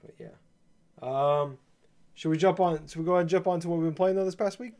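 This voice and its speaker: A man co-hosting a casual gaming podcast, talking in a laid-back, hesitant way with many ums and restarts, his voice slightly gravelly from a coming cold. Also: on the high side, fast, a little mellow. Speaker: podcast co-host.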